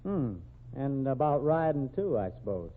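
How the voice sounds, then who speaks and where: A man's voice: medium pitch, very animated, a little slow, a little hiss or hum. An actor playing a cocky young male rodeo cowboy in a 1940s radio drama, with an easy, confident drawl. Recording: tinny old radio broadcast.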